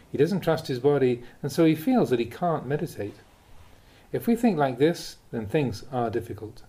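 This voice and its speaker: A man reading Buddhist teachings aloud in a measured, deliberate way with emphatic pauses. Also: mid-range voice, dark tone. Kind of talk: read-aloud spiritual teaching.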